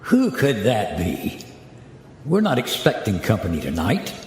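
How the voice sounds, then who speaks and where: A man's voice: lowish voice, huge pitch swings, a little slow, background noise. A man playing a skeptical, good-humored ordinary American husband and pilot in a radio play, conversational and wry. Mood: puzzled. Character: George Morgan, skeptical good-humored everyman airline pilot and father. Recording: echoey reverb, music behind.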